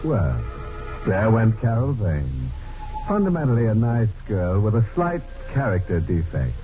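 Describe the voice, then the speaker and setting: Slow, sardonic macabre horror host. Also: male voice, fairly low pitch, wildly swooping pitch, warm tone. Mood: gleefully ghoulish. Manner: slow, arch, mock-sympathetic, savoring. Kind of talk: 1940s radio horror hosting. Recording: muffled old radio recording, music behind.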